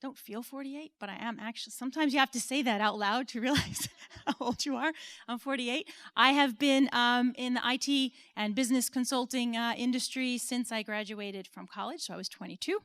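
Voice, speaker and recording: A woman's voice: fairly high pitch, brisk, fairly bright tone, no echo. An American woman in her forties giving an earnest, personal conference talk, now and then laughing at herself. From United States. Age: 40s. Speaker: conference speaker. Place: stage at an event.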